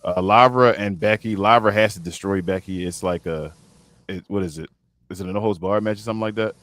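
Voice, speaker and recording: A man's voice: low-pitched, a little fast, a bit bright, dry recording. A man co-hosting a casual panel chat, laid-back and rambling as he gives his opinions. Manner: laid-back, rambling, many fillers and restarts. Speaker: podcast co-host.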